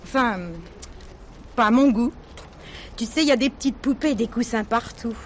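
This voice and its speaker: A woman's voice: on the high side, moderate pace, slight background noise, natural voice. An actress playing a brassy, nosy young working girl in a radio drama, slangy and teasing. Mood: mocking. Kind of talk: French radio drama acting.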